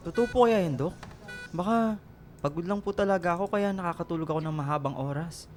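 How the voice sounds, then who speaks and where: A man's voice: high-pitched, fairly quick, soft background music, natural voice. A man playing an earnest, anxious young patient in a radio drama, speaking politely and hesitantly. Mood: disbelieving.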